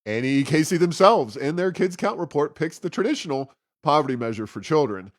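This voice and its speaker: A man co-hosting a talk show, giving conversational political commentary with wry, sarcastic asides and a halting, self-correcting delivery. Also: on the high side, animated, no room sound, studio quality. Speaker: co-host of a policy podcast.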